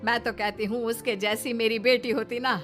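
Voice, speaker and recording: A woman's voice: on the high side, steady tone, moderate pace, fairly bright tone, natural voice. A woman voicing a grateful older mother-in-law in a moral story cartoon, respectful and earnest. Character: older mother-in-law, grateful and warm toward her daughter-in-law. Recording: background music.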